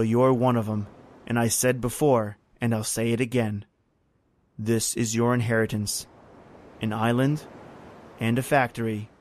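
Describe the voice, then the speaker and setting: An actor playing a hateful old servant in a radio horror drama, speaking with deliberate menace. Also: male voice, medium pitch, close to an everyday voice. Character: bitter old male servant consumed by lifelong hatred.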